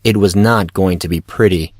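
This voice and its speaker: A man's voice: low voice, moderate pace, no echo. Male story narrator, dry close recording.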